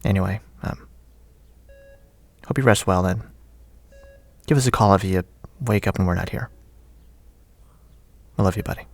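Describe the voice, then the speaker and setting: An actor playing a friendly, teasing friend with a hesitant, chatty manner in a naturalistic audio drama. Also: male voice, sits low, normal speed, pauses often, no room sound. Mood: warm, joking. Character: friendly, teasing male friend leaving a recorded message.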